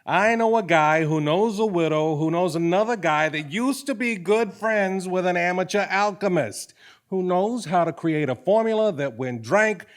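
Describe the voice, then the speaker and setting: A man's voice: high-pitched, animated, moderate pace. A man playing a shady, folksy wheeler-dealer with sly, rambling patter in a comic stage audio play. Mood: conspiratorial. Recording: live theatre stage recording.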